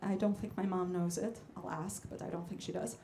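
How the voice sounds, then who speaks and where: A woman's voice: fairly low pitch, fairly even intonation, fairly quick, dark tone, a little hiss or hum. A woman from Israel whose first language is Hebrew, a guest jazz vocalist introducing a song from a club stage in a hesitant, chatty way with many fillers. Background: band instruments faintly.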